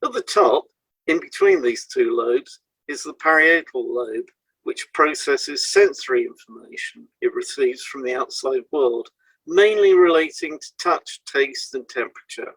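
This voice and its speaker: A man presents scripted educational material in a measured, explanatory manner. His mid-pitched voice is a little husky, and the recording is close and dry, with no room sound. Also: expressive, fairly bright tone, dry recording, rough recording. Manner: measured, explanatory, lists items one by one. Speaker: podcast presenter and hypnotherapist.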